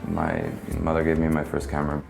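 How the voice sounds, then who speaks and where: A man from Hong Kong with an extremely low, gravelly voice, musing softly in halting phrases. He is recorded in a roomy space with a long reverb tail and faint music underneath. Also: monotone. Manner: soft-spoken, musing, halting. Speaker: photographer. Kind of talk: reflective documentary interview.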